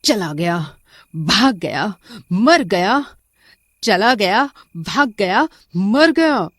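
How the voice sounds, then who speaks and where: A woman's voice: mid-range voice, expressive, drawn-out words, fairly bright tone, no room sound, clean studio sound, full character voice. A woman voicing a mad, homeless young woman who mutters short phrases obsessively in a story. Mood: muttering. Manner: repetitive chanting mutter.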